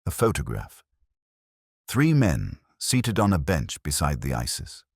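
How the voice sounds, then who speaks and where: Very deep, husky male storyteller. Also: huge pitch swings, no room sound, clean studio sound. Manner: measured, literary, reflective delivery. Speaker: narrator. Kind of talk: scripted first-person detective audio drama narration.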